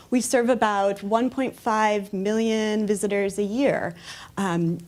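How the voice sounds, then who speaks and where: An American woman speaks as a museum educator on a panel, with an upbeat, explanatory manner. Her voice sits in a middle pitch. She addresses a live audience, with a few fillers along the way. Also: darkish tone. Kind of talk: presentation talk to an audience.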